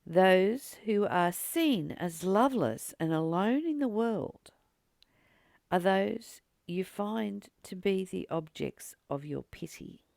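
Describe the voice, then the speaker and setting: A spiritual teacher reading aloud and reflecting on a text in a deliberate, earnest way, pausing between short phrases. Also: medium pitch, expressive, gravelly, a little mellow, no room sound, studio quality.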